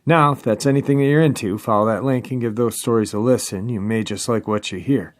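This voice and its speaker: Male podcast host, medium pitch, introducing and interviewing. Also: a little fast.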